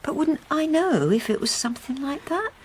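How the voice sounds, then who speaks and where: A woman's voice: sits high, very animated, normal speed, slight background noise. A woman playing a timid, anxious wife in a radio drama, speaking hesitantly with stammering restarts, both in dialogue and intimate narration. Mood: flustered, hesitant. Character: anxious, timid wife who has turned invisible.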